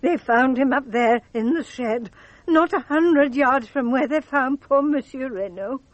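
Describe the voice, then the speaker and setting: An actress playing a superstitious, agitated household servant in a radio drama, dramatic and fretful. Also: female voice, high voice, unhurried, no room sound, dull audio. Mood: distraught.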